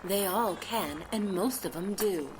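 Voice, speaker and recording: A woman's voice: medium pitch, a little slow, darkish tone, slight background noise, close to an everyday voice, poor audio. A woman voicing a brusque, bossy, short-tempered Duchess in an audiobook. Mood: curt, scornful. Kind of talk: audiobook character acting.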